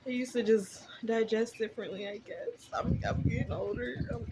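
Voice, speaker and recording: A woman's voice: a little high, steady tone, normal speed. A woman in her twenties chatting casually and candidly to her viewers, rambling in a conversational vlog style. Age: 20s. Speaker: vlogger. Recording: very noisy recording with clicks.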